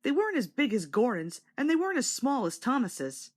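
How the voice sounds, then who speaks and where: A woman's voice: fairly high pitch, sing-song, brisk. A woman reading a children's story aloud as a scripted read-along narrator.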